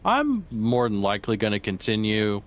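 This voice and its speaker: A man with a very deep voice, chatting casually on a voice call during a gaming stream. He speaks in a halting way and often restarts his sentences. The conversation is loose and informal. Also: huge pitch swings. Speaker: guest streamer.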